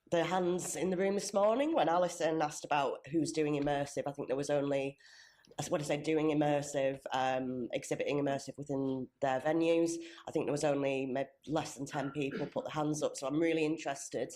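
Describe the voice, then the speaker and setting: A woman in her thirties with a low voice and a cold, a little sniffly as she chairs a conference panel. She talks in a friendly, chatty way, with plenty of ums, into a venue microphone. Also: a little mellow. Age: 30s.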